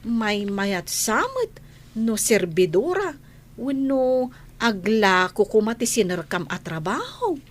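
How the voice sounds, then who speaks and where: A gossipy household maid with a high, very animated voice. She drawls slowly and teasingly in an Ilocano accent, radio comedy style. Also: exaggerated voice.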